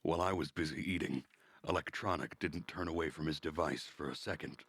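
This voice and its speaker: A man's voice: very deep voice, brisk, a bit bright, husky, no echo. A man streaming a visual novel, reading it aloud as narrator with wry, casual asides.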